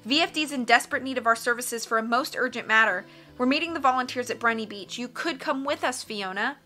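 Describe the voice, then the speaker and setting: An earnest young boy from a storybook , read by a woman. He reasons insistently, with an indignant edge and a crisp, very bright tone. Music can be heard with the voice. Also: on the high side, quick pace, voice pushed up. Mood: urgent.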